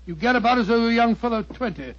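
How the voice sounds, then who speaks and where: Loyal older British gentleman, 1940s radio drama. High voice, stammering bluster, lo-fi recording. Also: lively intonation, very fast. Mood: reproachful. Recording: dull lo-fi old radio recording.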